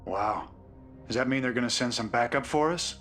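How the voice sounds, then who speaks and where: An actor playing a world-weary, dogged human soldier in a video game, plain-spoken and colloquial. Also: male voice, mid-range voice, animated, normal speed, a bit bright, faint music behind, natural voice. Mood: wry. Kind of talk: dramatic video game cutscene acting.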